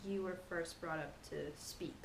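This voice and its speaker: A woman answers in a measured, careful way, her phrases trailing off quietly. The recording is echoey, with a faint hiss behind her. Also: on the low side. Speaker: interviewee. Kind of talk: interview answer.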